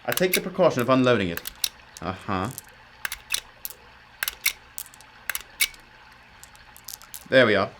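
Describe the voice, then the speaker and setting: A man's voice: medium pitch, very animated, fast, background noise. A man playing a brilliant, commanding detective in a radio drama, explaining emphatically with old-fashioned courtesy. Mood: brisk, serious. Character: brilliant, commanding, self-critical male detective.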